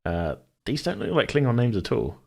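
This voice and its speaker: A man brainstorming casually as a podcast host, thinking aloud in a halting way. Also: medium pitch, sing-song, gravelly, darkish tone, slightly rough. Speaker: tabletop role-play podcast host and game master.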